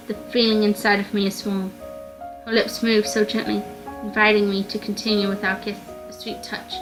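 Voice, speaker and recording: Woman reading a visual novel out loud, dramatic narrator, bit halting, music clearly behind her. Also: medium pitch, rough recording. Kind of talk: dramatic first-person story narration.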